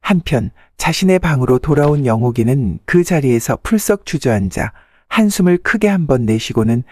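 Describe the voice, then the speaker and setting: A man's voice: medium pitch, expressive, normal speed, a little mellow, dry recording, clean studio sound. A man narrating a folktale from a script as the storyteller.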